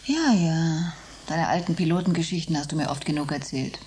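An actress playing a touchy, worried middle-aged wife and former stage performer, theatrical and reproachful, in a German science fiction radio play. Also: female voice, sits low, a little fast, darkish tone, slight background noise, close to an everyday voice. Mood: indignant.

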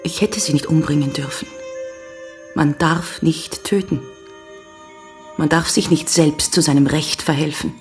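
An actress playing a candid, unwavering avenging woman who confesses to police in a radio crime drama, speaking with calm, earnest deliberation. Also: sits low, unhurried, pauses often, soft background music. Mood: solemn, contrite.

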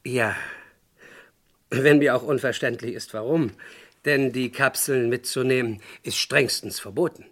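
A man's voice: mid-range voice, unhurried, a bit bright. An actor playing a courteous, guarded French businessman in a German radio crime drama, speaking formally and precisely. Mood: polite, guarded.

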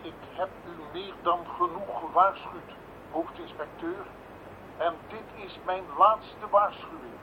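A man's voice: high-pitched, fairly even intonation, takes it slowly, noisy background. An actor playing a cold, threatening male blackmailer who delivers ultimatums. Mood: menacing. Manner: menacing, clipped ultimatums. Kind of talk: radio play acting. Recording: tinny lo-fi old radio recording.

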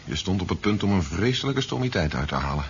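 A steady, commanding general in a seventies sci-fi radio play. His voice is low and measured, with fatherly authority. The recording is dull with a faint noise under it. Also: vocal fry, heavily acted. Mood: calm, reassuring. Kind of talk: 1970s Dutch science fiction radio drama acting.

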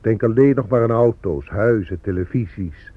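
Male actor as disillusioned man, lowish voice, grave, ironic, radio drama, muffled recording. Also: normal speed, close to an everyday voice. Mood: bitter irony. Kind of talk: Dutch radio play acting.

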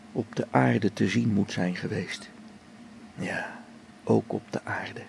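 An actor playing a middle-aged male space explorer whose faith is shaken, speaking a brooding, halting radio play log that sinks to hushed whispers. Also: on the low side, slight background noise, heavily acted. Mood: hushed, somber. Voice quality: gravelly. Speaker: log-keeping space expedition member.

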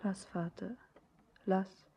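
An anguished young bride in a verse radio drama. She speaks very slowly and haltingly, with a breathy, husky voice that often sinks into intense whispering. She sounds devoted and despairing. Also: medium pitch, flat delivery, dark tone, muffled recording, exaggerated voice. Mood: anguished, resigned.

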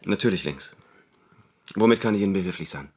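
A guarded, formal gentleman in a radio drama. His voice is fairly low and his delivery is quick and clipped, with an evasive, dismissive manner. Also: lively intonation. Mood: guarded, impatient.

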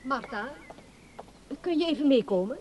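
Composed, firm sister for radio play. Raised pitch, slightly noisy recording. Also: female voice, expressive, normal speed, darkish tone. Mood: firm, reassuring. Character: firm, calm institutional sister fetching a charge. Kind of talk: Dutch radio play acting.

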